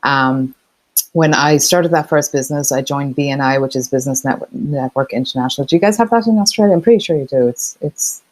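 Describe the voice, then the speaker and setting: A woman's voice: rumbling low, a little fast, darkish tone, no echo, rough recording. A middle-aged woman from Ireland with an Irish accent touched by Boston, chatting easily as an interview guest with many fillers. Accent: Irish with some Boston. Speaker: guest, partnerships expert. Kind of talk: casual interview chat.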